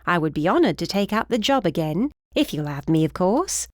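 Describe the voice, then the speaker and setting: A woman's voice: lowish voice, big pitch swings, brisk, no room sound, clean studio sound. A woman voicing a short, plump, cheerful older housekeeper with a British accent and a rambling, effusive, fussy manner in an audiobook. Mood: chatty, eager.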